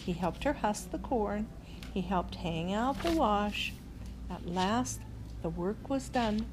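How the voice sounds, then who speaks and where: An older woman reading a children's bedtime story aloud as a grandmother, in an expressive way, on a noisy recording. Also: mid-range voice, slow.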